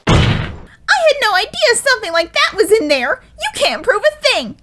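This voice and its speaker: Shrill, petulant little sheep. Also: female voice, big pitch swings, normal speed, pushed and bright, full character voice. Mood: indignant. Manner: indignant, flustered when challenged. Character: petulant, scheming little female sheep on trial. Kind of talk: animated courtroom story acting. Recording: music behind the voice.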